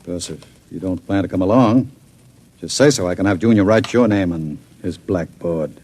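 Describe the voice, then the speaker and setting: An actor playing a scheming, coolly menacing crime boss with an American accent in an old radio drama, suave and controlled. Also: male voice, deep voice, moderate pace, slightly rough. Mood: suave menace. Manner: suave, controlled, quietly threatening.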